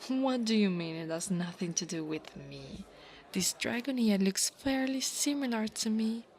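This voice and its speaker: Woman acting a slow, taunting dragon-girl bully. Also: medium pitch, animated, darkish tone. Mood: smug, scornful. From Italy. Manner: taunting, slow drawling delivery. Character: insecure, mocking young female dragon bully. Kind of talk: ASMR roleplay.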